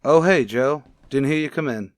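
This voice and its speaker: A man playing a calm, sober police detective partner in a radio crime drama, low-key and matter-of-fact. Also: moderate pace, no room sound, close to an everyday voice. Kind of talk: old-time radio crime drama acting.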